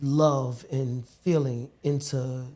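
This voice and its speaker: A man's voice: mid-range voice, fairly even intonation, very slow, warm tone. A man hosting a talk show, asking rambling questions in a colloquial, chatty way. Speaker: show host. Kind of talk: casual interview chat.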